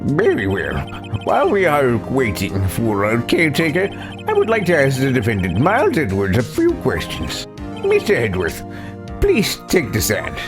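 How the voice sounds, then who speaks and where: Man putting on an old, gravelly, wildly swooping judge. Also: mid-range voice, wildly swooping pitch, normal speed. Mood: deliberate, inquiring. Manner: ponderous, stammering, formal. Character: elderly male judge, stern, easily flustered, pompous. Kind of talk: live voiced playthrough of a courtroom video game. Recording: game music under the voice.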